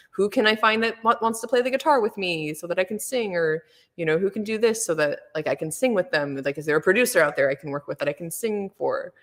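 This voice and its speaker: A woman chatting casually with a low voice, rambling with lots of fillers and laughing often. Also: in a large hall. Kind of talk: casual chat.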